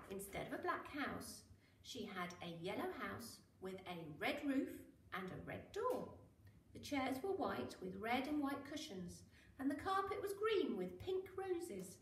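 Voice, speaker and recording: A woman reading a children's story aloud in a dramatic, expressive way, with drawn-out emphasis on key words. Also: high voice. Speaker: school teacher reading to children. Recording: roomy, echoing, noisy, distant mic.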